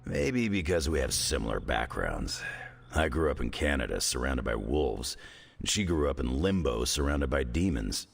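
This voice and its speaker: An actor playing a gruff, laconic middle-aged loner hero in a video game, with a rough voice and folksy, laid-back manner. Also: male voice, extremely low pitch, sing-song, moderate pace, big-room sound, exaggerated voice. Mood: dry, relaxed. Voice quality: gravelly, rough. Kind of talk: video game cutscene acting.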